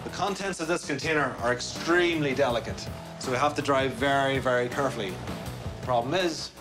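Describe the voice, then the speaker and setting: Male presenter, 30s, conversational science explainer, mid-pitched voice, music underneath. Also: brisk. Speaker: presenter and truck driver. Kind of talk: explaining science to camera and passenger.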